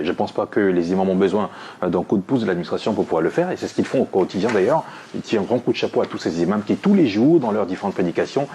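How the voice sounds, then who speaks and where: Male radio host, rapid delivery, faint noise behind. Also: on the low side, a little mellow. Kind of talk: radio talk and presenting.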